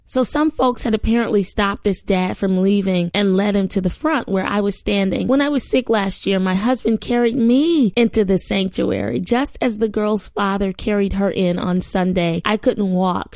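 A woman hosting a daily devotional podcast, preaching and praying with fervor, her voice hoarse after a weekend of ministry, recorded in a small studio. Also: mid-range voice, dry recording. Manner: warm fervent preaching and prayer.

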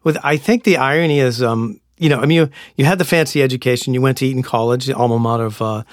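A man hosting a podcast interview, chatty and curious, asking quick follow-up questions. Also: mid-range voice, no echo, clean studio sound. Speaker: podcast host. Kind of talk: conversational interview.

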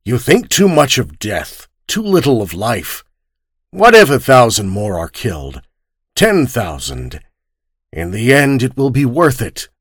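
A man's voice: mid-range voice, huge pitch swings, a little slow, a bit bright, husky, no room sound, studio quality, exaggerated voice. A man reading aloud voices a gaunt, obsessive old man who speaks with desperate intensity. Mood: intense pleading.

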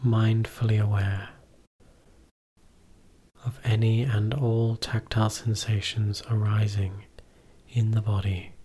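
A man leading a guided meditation as a teacher, giving gentle, measured instructions in short phrases with pauses between them. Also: on the low side, flat delivery, husky.

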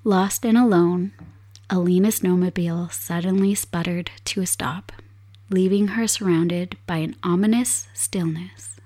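A woman with a hoarse, raspy voice from a cold takes her time with every phrase. Her pitch sits a little low, and she talks softly and without hurry, as if reading a tale at home. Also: fairly even intonation. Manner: soft, unhurried storyteller. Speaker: podcast host. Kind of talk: casual chat and storytelling. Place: home desk set up for streaming.

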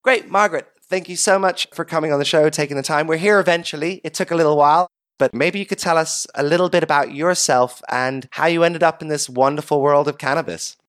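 A man's voice: fairly high pitch, fast talker, a bit bright. A man hosting a podcast with a mid-Atlantic accent and a slight American twang, reading an upbeat intro and asking questions. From Luxembourg. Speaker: podcast host. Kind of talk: scripted intro and interview.

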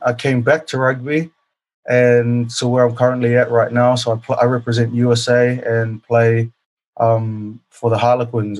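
A man in his thirties from New Zealand, a guest on an interview, answering casually and humbly with many pauses and fillers. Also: mid-range voice. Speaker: guest, professional rugby player.

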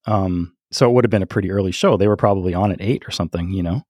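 Male podcast co-host, lowish voice, relaxed conversational anecdotes, stop-and-start delivery, very dry sound. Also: dry recording. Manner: halting, chuckles often while talking. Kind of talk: casual chat, telling music trivia and anecdotes.

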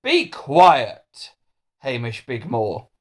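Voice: mid-range voice, big pitch swings, normal speed, voice pushed up. A storyteller voicing a flustered, well-meaning male magician schoolteacher in a children's storybook, fussy and exclaiming. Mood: cross.

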